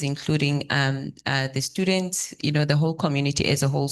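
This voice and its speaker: A woman moderating a forum panel, speaking formally with many pauses and fillers. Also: low-pitched.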